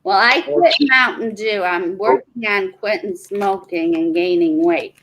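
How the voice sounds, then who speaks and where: A woman's voice: mid-range voice, a little slow, a bit bright, poor audio. A woman in her forties chatting and teasing on a group video call. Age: 40s. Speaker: person showing mail on a group call. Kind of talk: casual chat.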